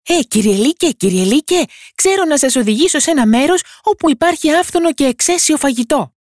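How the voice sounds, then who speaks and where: A woman voicing a tiny, clever, cheeky boy in a storybook tale, speaking high and shrill. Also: lively intonation, a little mellow, dry recording, studio quality. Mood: coaxing.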